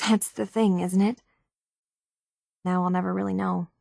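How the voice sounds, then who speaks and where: A woman's voice: on the low side, level tone, a little slow, gravelly, mellow, dry recording, clean studio sound, full character voice. An actress playing an earnest, troubled young woman unsure of who she is, speaking in a hesitant, sincere way for video game dialogue. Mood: wistful, resigned.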